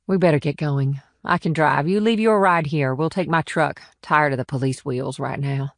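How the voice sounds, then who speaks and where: A sardonic small-town policewoman in an audiobook thriller, performed by a woman. She is blunt and direct, in a slightly low female voice, recorded dry. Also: moderate pace, no echo. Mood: brisk.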